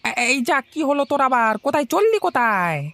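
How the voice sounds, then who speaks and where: A woman's voice: high voice, very animated, moderate pace, a bit bright, a little hiss or hum, exaggerated voice. A woman voicing a sly, chatty young village lad in a fairy-tale cartoon. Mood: insinuating. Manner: insinuating, chatty.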